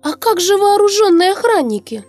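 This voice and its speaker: A sweet little girl for a children's puppet show. She speaks in a high, childlike voice with an innocent, questioning manner. Music is clearly audible behind her. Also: sing-song, moderate pace, heavily acted. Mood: curious.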